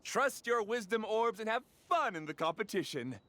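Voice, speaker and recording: Geeky proud inventor from a game, high voice that swoops around, polite and pedantic. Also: male voice, lively intonation, normal speed, heavy background noise. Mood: flustered, polite. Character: eager, nerdy male scholar inventor, proud and awkward. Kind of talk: video game character acting.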